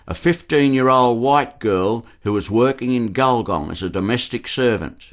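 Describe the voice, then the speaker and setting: A man's voice: mid-range voice, fairly bright tone. A man narrating a scripted historical documentary radio play.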